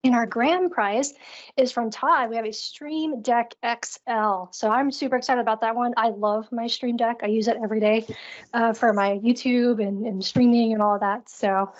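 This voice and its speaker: A woman co-hosting an online call, presenting a live screen-share demo in a casual, upbeat, chatty way. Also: fairly high pitch. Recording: dull, lo-fi online call audio.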